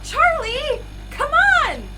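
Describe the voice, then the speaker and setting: A woman playing an excitable, reckless, childlike young female musician in an audio drama, exuberant and naive. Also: very high voice, slow pace, very noisy, heavily acted. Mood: excited.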